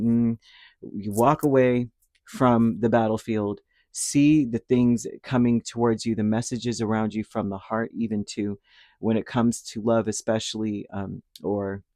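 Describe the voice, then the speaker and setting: Low-voiced man with a dry throat. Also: dark tone, no echo. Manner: rambling, reassuring, with many fillers and restarts. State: dry, slightly hoarse throat from a cold. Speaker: tarot reader. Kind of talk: casual spoken tarot reading.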